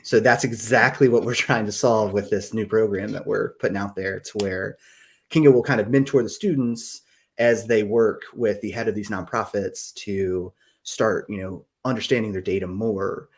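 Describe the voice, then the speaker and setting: A man's voice: on the low side, steady tone, moderate pace. A man hosting a live-stream podcast, talking casually and enthusiastically with many fillers. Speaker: podcast host.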